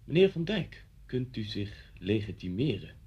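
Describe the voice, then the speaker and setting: A man's voice: on the low side, big pitch swings, unhurried, a little mellow, muffled recording. A man acting a formal, insistent police officer with a curt manner in a radio play. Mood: curt, official. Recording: radio play with faint background noise.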